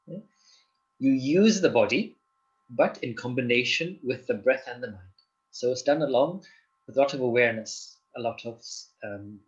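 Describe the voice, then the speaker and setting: A man from Zimbabwe, a guest on a chat show, answering in a calm, explanatory way and often checking in with the listener. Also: mid-range voice, muffled recording. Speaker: guest, doctor and yoga teacher. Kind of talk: interview answers.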